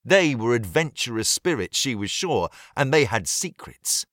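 A British man narrating a period novel from a script, precise and measured with dry wit. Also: medium pitch, big pitch swings, a bit bright, no echo. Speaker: audiobook narrator.

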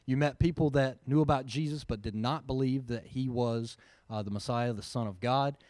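A man's voice: mid-range voice, normal speed, a little mellow, no echo, studio quality. A man giving an earnest personal testimony to a church audience, with plenty of ums along the way. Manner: earnest, storytelling, with frequent fillers. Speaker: mission team member giving a report.

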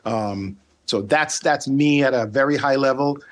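A man's voice: mid-range voice, unhurried. A man whose first language is Dutch, raised in the Caribbean, speaking as a guest in a conversational interview, thoughtful with frequent fillers and restarts. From Saint Martin, Caribbean. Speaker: guest, ethical hacker.

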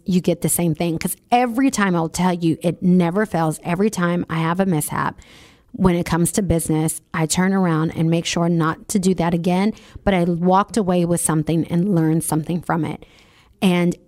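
A woman's voice: lowish voice, a little mellow. Female radio host, scratchy voice, upbeat, talks straight to listeners. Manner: enthusiastic, encouraging, talks to listeners directly. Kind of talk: motivational talk on business.

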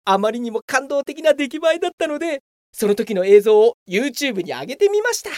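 Woman playing a high, animated, pompous office worker. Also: fairly quick, dry recording, studio quality. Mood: self-satisfied, excited. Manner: pompous, grandly self-promoting. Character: brazen, oblivious, self-satisfied veteran office worker. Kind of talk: comedic Japanese radio drama acting.

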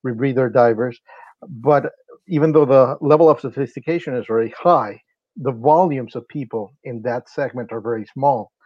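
A man's voice: mid-range voice, dry recording, dull audio. A man from Honduras, a company's sales and marketing director, explaining things at length in a casual interview with many fillers.

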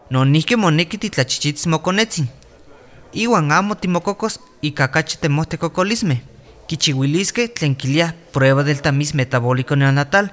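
A patient village doctor. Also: male voice, fairly high pitch. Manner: explanatory, steady, reassuring. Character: calm male village doctor, patient and instructive. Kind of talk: radio drama acting.

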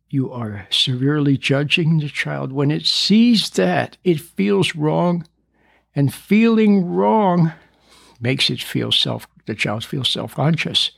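A man's voice: a little high, vocal fry, no echo, clean studio sound. A man in his seventies who stutters at times, giving an earnest talk to parents, repeating and rephrasing his points. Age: 70s. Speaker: speaker on parenting. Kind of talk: recorded talk addressing parents.

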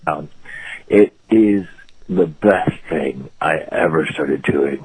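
A man's voice: rumbling low, takes it slowly, creaky, darkish tone, breathy edge, low-quality recording. A man from the United States telling a casual story as a caller to a podcast.

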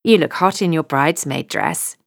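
A woman's voice: deep voice, animated, normal speed, gravelly, no room sound, clean studio sound. A woman voicing a snobbish, gushing young bride, a little spoilt and breathless. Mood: chatty.

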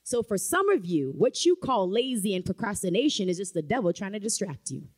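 A woman's voice: medium pitch, very animated, a little fast. A woman preaching a sermon in a church, emphatic and rising to fervent calls of amen. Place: church sanctuary.

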